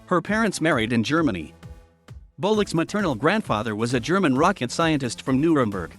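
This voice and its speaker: A man reading a scripted biography narration in an even, flat manner. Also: medium pitch, a little fast. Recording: faint music underneath.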